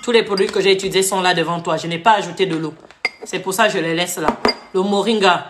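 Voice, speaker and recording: A woman's voice: fairly low pitch, steady tone, quick pace, noisy background. Live-stream host, woman from Gabon; heated scolding rant, echoey room sound. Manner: scolding, repetitive, switches to English briefly.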